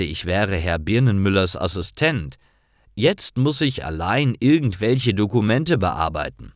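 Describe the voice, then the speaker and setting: Male narrator for learner stories: deep-ish voice, dry close sound, deliberate delivery. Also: dry recording.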